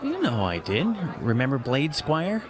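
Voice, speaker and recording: A man acting in an audio drama as a skeptical, excitable writer friend, with a hesitant, expressive delivery. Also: mid-range voice, measured pace, crowd noise. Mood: skeptical, musing. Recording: music behind the voice. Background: music.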